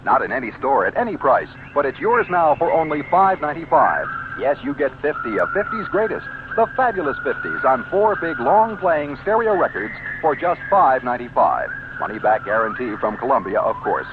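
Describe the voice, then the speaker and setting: A man reading a 1970s radio sales pitch for records in an emphatic, salesy announcer style. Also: big pitch swings, normal speed, hardly any pauses, noisy background. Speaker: commercial announcer. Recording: tinny old radio ad with music. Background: music.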